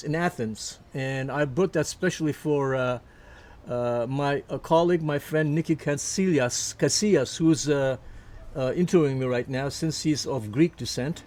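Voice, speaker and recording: Man in his 70s, slightly high voice, hesitant, fillers and restarts, interview recollection. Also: a little mellow. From Turkey. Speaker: interviewee, musician. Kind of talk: casual life-story interview.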